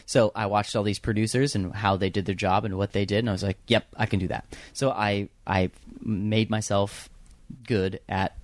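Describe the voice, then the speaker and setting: A man's voice: lowish voice, fairly even intonation, moderate pace, dry recording. A young man with a young-sounding voice, chatting casually as an interview guest about radio production work, full of fillers and restarts. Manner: rambling with many fillers and restarts.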